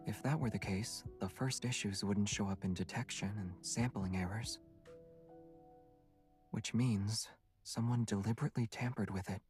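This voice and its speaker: Calm, understated young hunter. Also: male voice, on the low side, moderate pace, husky, soft background music. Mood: calm, serious. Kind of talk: scripted game voice acting.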